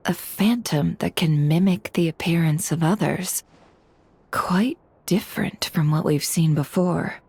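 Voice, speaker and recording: Husky, aloof, sardonic schemer. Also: female voice, lowish voice, darkish tone, dry recording. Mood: serious, cool. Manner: dry, unhurried, detached. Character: cool, aloof, sardonic woman information dealer. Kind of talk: video game voice acting.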